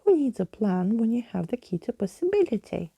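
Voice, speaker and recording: A woman's voice: a little high, very animated, a little fast, gravelly, dark tone, husky, no echo, pitched above the performer's own voice, exaggerated voice. A woman voicing a bold, theatrical skeleton man in a storybook, grandly spoken and playful. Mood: confident. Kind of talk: storybook character in ASMR reading.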